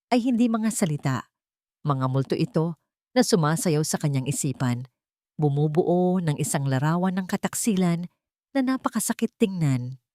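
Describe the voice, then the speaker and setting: A woman narrating an audio drama in a measured, dramatic storytelling manner. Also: low-pitched, a little mellow, no echo, clean studio sound.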